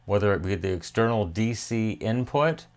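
Male repair-tutorial host, lowish pitch, methodical step-by-step delivery. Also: animated, moderate pace. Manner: methodical, step-by-step, thinking aloud. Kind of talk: hands-on repair tutorial narration. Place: indoor electronics workbench.

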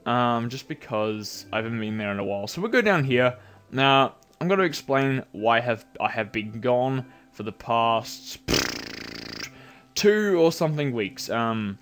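A man giving casual, rambling commentary over a video game while sounding nasal from a cold, with bursts of excited yelps. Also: mid-range voice, unhurried. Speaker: gameplay commentator.

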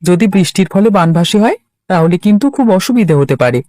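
A man's voice: sits high, expressive, fairly quick, a little mellow, no echo, studio quality. A man voicing an earnest, polite young village lad in a cartoon, helpful and concerned. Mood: earnest, planning. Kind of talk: Bangla cartoon voice acting.

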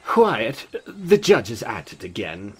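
A man voicing a wry, brisk Old West sheriff in a fan comic dub. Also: on the low side, very animated, breathy edge, full character voice. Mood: brisk, commanding.

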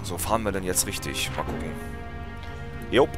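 A man with a lowish voice and a stuffy, congested nose talks his way through a game, rambling and restarting his sentences. Music plays clearly behind him. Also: moderate pace. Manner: chatty, rambling, with many fillers and restarts. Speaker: let's play gamer. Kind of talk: casual gameplay commentary.

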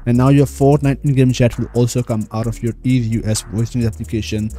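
Male, tutorial host, mellow soft-edged tone, mid pitch, step-by-step voice-over, direct address to viewers. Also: little pitch movement, fast talker. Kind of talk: screen-recorded tutorial voice-over.

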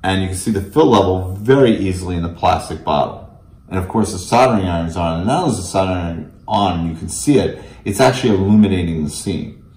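A man's voice: low-pitched, fast, darkish tone. A middle-aged American man giving a technical product demonstration and explanation as a company representative in an office. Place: indoors in a small office meeting room. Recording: echoey room.

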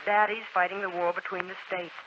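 An actress playing a spirited, outspoken teenage tomboy with a blunt, lively manner in a 1940s radio drama. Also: female voice, lowish voice, fairly quick, noisy background, close to an everyday voice. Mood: wry. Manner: blunt, lively, playfully exasperated. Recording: muffled 1940s radio broadcast, music behind.

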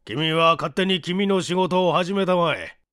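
An irascible old scientist in an audiobook story. He is bossy and gruff, barking in an old man's idiom at a high pitch, with a close, dry sound. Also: unhurried, a bit bright, no echo. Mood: irritated, barking. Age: older. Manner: barking, old-fashioned elderly speech.

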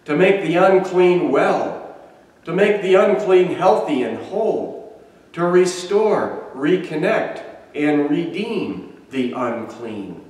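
A man in his sixties, a pastor giving a measured, emphatic sermon with deliberate pauses, filmed by a camera across a small church sanctuary. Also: on the high side, expressive, a little mellow. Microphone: far camera. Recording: echoey church from far off.

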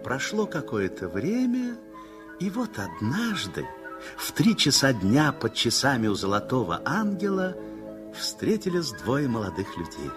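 A man narrating a 1960s radio play in an expressive, lyrical storytelling manner. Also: medium pitch, unhurried. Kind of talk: dramatic literary narration. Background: music.

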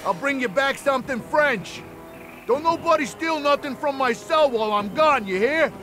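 Cocky male inmate, cheeky, extremely high-pitched, comic game. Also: expressive, normal speed. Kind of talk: comic video game voice acting. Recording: music behind.

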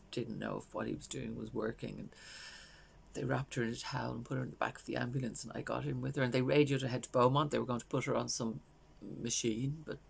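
A woman with an Irish accent playing a grieving working mother in a stage monologue, confiding in a halting, understated way. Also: very deep voice, vocal fry, slight background noise, heavily acted. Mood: shaken. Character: grieving working mother haunted by her loss. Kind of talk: dramatic stage monologue, naturalistic.

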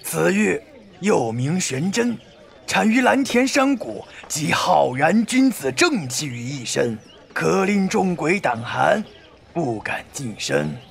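A man playing a slow, creaky Taoist priest. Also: a little high, sing-song, a little hiss or hum, full character voice. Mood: coaxing. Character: wandering middle-aged male Taoist fortune-teller, shrewd. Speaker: voice actor. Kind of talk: Chinese audio drama acting.

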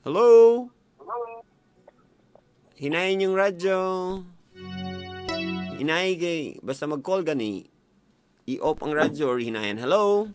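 A man's voice: on the high side, animated, takes it slowly, pauses often. A young man with a Filipino accent hosts a casual radio call-in chat, playful and teasing, on a close studio mic. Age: young adult. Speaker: radio call-in show host. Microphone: studio mic on boom arm, close. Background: music playing.

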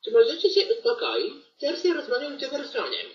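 A strict, flustered schoolteacher played by a woman in a homemade comic radio play. She scolds in a high, halting voice that swings up and down. The recording is muffled and roomy. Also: huge pitch swings, far from the mic. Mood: scolding. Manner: halting, repeating words, scolding.

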